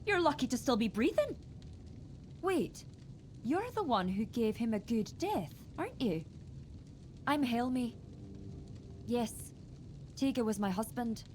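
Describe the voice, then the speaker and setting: A woman voicing a grieving widow in a fantasy role-playing game, sharp-tongued yet sorrowful. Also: on the high side, big pitch swings, a little slow, pauses often, slight background noise. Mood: wary. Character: grieving, bitter widowed tribeswoman. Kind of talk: video game voice acting.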